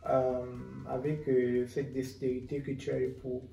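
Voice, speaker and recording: Female interviewer, dark soft-edged tone, conversational and hesitant, distant from the mic with music underneath. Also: extremely low pitch, level tone, a little slow, reverberant. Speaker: interviewer, host.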